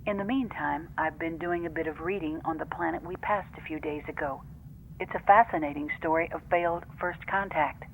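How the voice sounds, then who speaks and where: A woman playing a serious female first officer who dictates formal, measured log entries in a fan audio drama. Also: low-pitched, slight background noise, poor audio.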